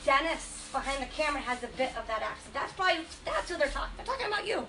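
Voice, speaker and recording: A woman's voice: high voice, very animated, quick pace, pushed and bright. A woman from Western Massachusetts demonstrating furniture finishing on a livestream, chatty and enthusiastic, a bit congested from a cold. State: has a cold. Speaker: furniture painting host. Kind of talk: casual livestream demo.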